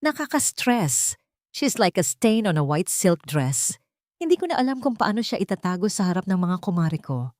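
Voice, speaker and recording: A woman's voice: medium pitch, very animated, moderate pace, a little mellow, no echo, studio quality, lightly acted. A woman voicing a spiteful, snobbish rich Filipino daughter-in-law with haughty, contemptuous talk in an audio story. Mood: haughty, irritated.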